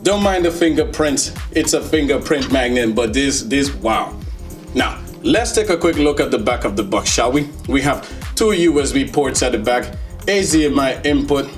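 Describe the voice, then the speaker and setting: A man's voice: medium pitch, lively intonation, normal speed, faint music behind. A man giving casual, enthusiastic unboxing commentary as a tech reviewer, in a playful, slangy, joking manner. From Netherlands. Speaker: tech reviewer, video host.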